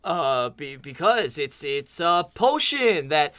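A woman's voice: low voice, sing-song, slow pace, fairly bright tone, heavily acted. A woman playing a wry, conniving, exasperated character with a dry sarcastic manner in a comedic audio drama.

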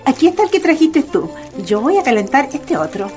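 A woman's voice: high-pitched, sing-song, a little fast, darkish tone, faint music behind. A woman playing a cheerful, scatterbrained mother in a radio drama, playful and homely, with a Chilean accent. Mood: cheerful, teasing.

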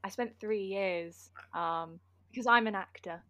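A woman's voice: mid-range voice, a little slow, pushed and bright, no room sound. A bubbly woman hosts a casual book podcast, giggling often and filling her sentences with ums as she chats along. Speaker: podcast host.

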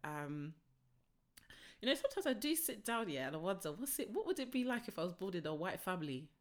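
A woman's voice: mid-range voice, very animated, brisk. A young British woman, chatting casually and reflectively as a podcast co-host, with plenty of fillers and frequent laughter. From Britain. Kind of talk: casual chat.

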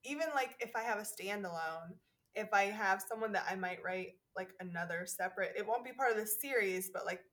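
A woman's voice: mid-range voice, fairly quick. A woman author chatting as a podcast guest, laughing often and talking with many fillers and restarts. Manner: giggly, animated, many fillers. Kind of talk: casual podcast chat.

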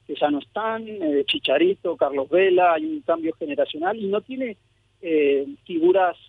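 A sociologist born in Mexico and raised in Argentina, talking as a guest in a radio phone interview, thoughtful and hesitant with many fillers. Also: male voice, fairly high pitch, breathy edge, no echo. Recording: phone line.

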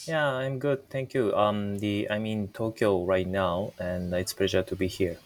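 A man's voice: lowish voice, steady tone, normal speed, no echo. A man in his forties with a Japanese accent, speaking English as a non-native, answering interview questions as a company founder with frequent hesitations. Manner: hesitant, many fillers and restarts.

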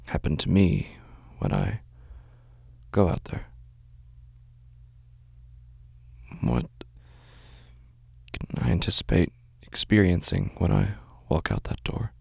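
A man playing a weary, anxious shut-in, narrating a horror audio drama in a halting, hushed, introspective way. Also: deep voice, gravelly, breathy edge, no echo, exaggerated voice. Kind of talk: first-person horror audio drama monologue.

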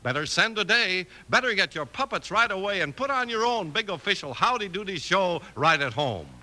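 A man's voice: high voice, big pitch swings, brisk, bright, forceful tone. A male 1950s radio announcer with an emphatic, showy delivery for commercials and dramatic narration.

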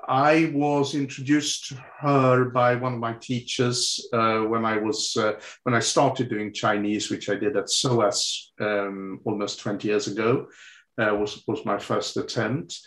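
A man in his sixties with French as his first language is being interviewed. He talks slowly and hesitantly, with plenty of ums and restarts. The sound is roomy and echoey. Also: medium pitch, little pitch movement, a bit bright. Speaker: interview guest. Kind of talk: relaxed conversational interview.

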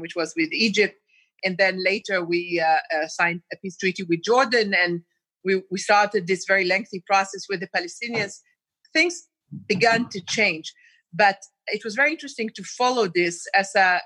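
A woman in her fifties from Israel talks as a guest diplomat, picking her words with care and often restarting her sentences. Her voice is bright and forward. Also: fairly low pitch. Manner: thoughtful, searching for words with many restarts. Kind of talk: podcast interview.

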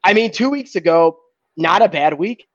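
A man recaps games in a rambling way, full of fillers, his sentences often fading off quietly. The recording sounds dry and a bit dull. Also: high-pitched, lively intonation, normal speed, no room sound. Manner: rambling with many "uh"s, trailing off quietly. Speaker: podcast co-host. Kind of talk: casual sports recap.

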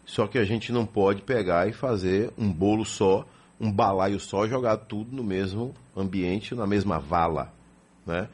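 Husky male host, low voice, chatty interviewer leaning on "né" tags. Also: unhurried. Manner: lively introductions, chatty, tags questions with "né". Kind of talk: radio-style interview hosting.

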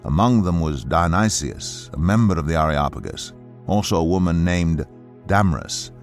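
A man reading scripture as a measured, deliberate audio Bible narration. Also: deep voice, faint music behind.

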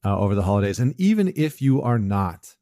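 Reflective man with a low, dry voice. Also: very animated, fairly quick, mellow, dry recording. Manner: reflective, earnest, with frequent fillers and restarts. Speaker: podcast host, men's coach. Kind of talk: casual solo talk with a read passage.

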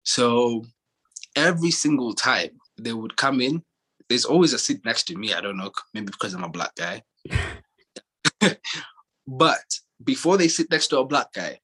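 A young man from Kenya in casual chat, telling stories in a relaxed way with many fillers and restarts. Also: mid-range voice, unhurried, long pauses, breathy edge, rough recording.

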